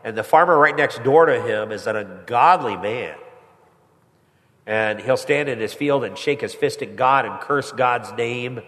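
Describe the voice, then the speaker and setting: A pastor preaching a sermon with a stuffy head cold, climbing higher and louder when he presses a point. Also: unhurried. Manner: rises in pitch and volume when pressing a point. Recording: long hall reverb.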